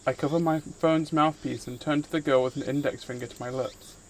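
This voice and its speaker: A man's voice: a little high, steady tone, a little fast. A man live streaming, reading visual novel narration aloud and chatting casually with viewers in between. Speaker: streamer, VTuber host.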